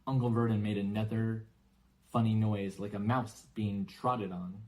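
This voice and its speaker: A man's voice: on the low side, steady tone, normal speed, a little mellow. A man reading a children's novel aloud as scripted audiobook narration.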